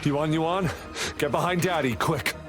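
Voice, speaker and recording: A man playing a gentle, desperate, protective father in a video game cutscene, earnest and tender. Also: on the high side, measured pace, over music, lightly acted.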